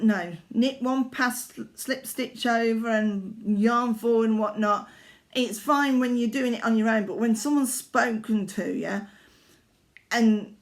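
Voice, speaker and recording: An older woman from Yorkshire chatting casually at home about her needlework, rambling, with lots of fillers and self-corrections. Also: on the high side. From Yorkshire, England. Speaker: craft vlogger. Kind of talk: casual chat showing needlework.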